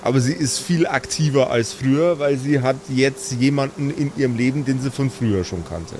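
A man's voice: medium pitch, normal speed, mellow, slight background noise. A man improvising narration as game master of a tabletop game, with a sardonic manner.

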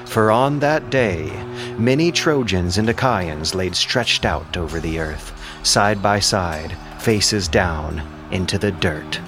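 A man with a low-pitched voice works as narrator and host of an audio production. He reads prepared, scripted text, with music clearly playing beneath him. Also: slow.

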